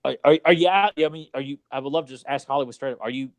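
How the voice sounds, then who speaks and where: A man's voice: mid-range voice, very fast, no echo. A man co-hosting a casual movie podcast, talking in an exasperated, sarcastic way with loose, salty language. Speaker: podcast co-host.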